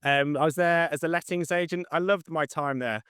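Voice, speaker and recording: A man with a fairly high, clear voice answers questions in a casual interview. His delivery is slightly monotone and matter-of-fact, and the sound is close and dry. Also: moderate pace, no room sound. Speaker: interview guest, estate agent.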